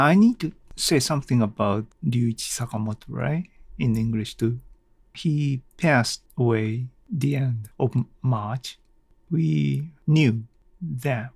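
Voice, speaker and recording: A man from Japan, a native Japanese speaker with a Japanese accent, hosting a casual solo podcast and haltingly thinking aloud. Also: mid-range voice, vocal fry, a little mellow, breathy edge, no room sound. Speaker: podcast host.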